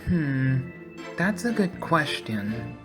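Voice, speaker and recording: A woman voices an earnest, flustered cartoon police officer in an audio drama. The voice sits fairly low and swings in pitch, deferential and hesitant, under loud music. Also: sing-song, extremely slow, exaggerated voice. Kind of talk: fan audio drama acting.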